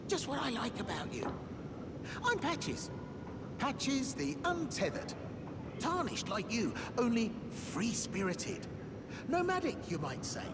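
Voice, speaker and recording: A man's voice: very high voice, huge pitch swings, slow, very noisy, exaggerated voice. A man voicing a sly, treacherous male bandit merchant in a video game, mocking and wheedling with chuckles.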